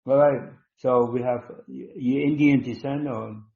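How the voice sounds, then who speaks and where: A man hosting a live online healing session, talking slowly and instructively, repeating words with many ums. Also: medium pitch, fairly even intonation, gravelly, dark tone. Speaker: webinar host and healer. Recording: dull roomy online call audio.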